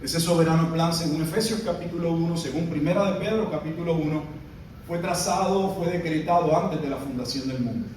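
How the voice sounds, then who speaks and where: Middle-aged Puerto Rican man, pastor, measured teaching style, faint ambience behind him. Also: fairly high pitch, steady tone, darkish tone, slight background noise. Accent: Puerto Rican Spanish. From Puerto Rico. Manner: measured teaching delivery with pauses and fillers. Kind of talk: conference sermon lecture. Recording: echoey room, mic at a distance.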